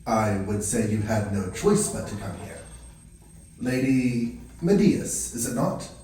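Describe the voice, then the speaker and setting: A man playing a calm, priestly counsellor with a hint of menace in a fantasy audio drama, speaking in a measured, formal way. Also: lowish voice. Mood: kindly, solemn. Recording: cavernous echo, distant from mic.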